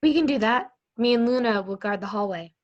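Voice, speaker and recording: A woman's voice: on the high side, animated, a little fast, natural voice. A woman playing an eager, plucky teenage girl witch in an amateur script read. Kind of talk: amateur online screenplay table read. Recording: dull, faintly noisy mic with clicks.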